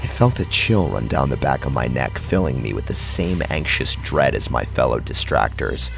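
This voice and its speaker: A man's voice: a bass voice, lively intonation, moderate pace, creaky, fairly bright tone. A man narrating a scripted first-person horror story dramatically, close on the microphone. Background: background music.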